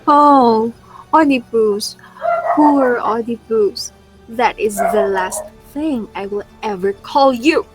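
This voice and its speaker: A woman's voice: on the high side, slow pace, low-quality recording. A woman playing a distressed figure of an ancient royal court in a readers' theatre tragedy, declaiming earnestly. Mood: despairing. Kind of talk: school readers' theatre performance of Greek tragedy. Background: prominent music.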